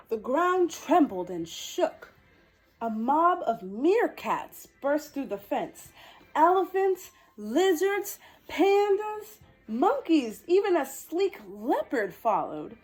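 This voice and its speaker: A woman's voice: high voice, big pitch swings, takes it slowly, darkish tone, soft background music, rough recording. A woman hosting a children's storytime, reading a picture book expressively with playful, exclamatory asides. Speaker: storytime host.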